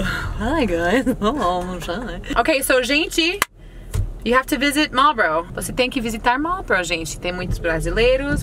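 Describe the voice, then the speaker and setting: An American woman in her thirties talks in a slow, unhurried way on a casual vlog, switching between English and halting learner Portuguese with easy laughter. She is recorded on a camera mic from inside a car and outdoors, with clear road and outdoor noise behind her. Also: mid-range voice. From United States. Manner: playful, laughing, stumbles through learner Portuguese. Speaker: vlogger host. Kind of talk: bilingual casual vlog chat. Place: car, then outdoors in a park.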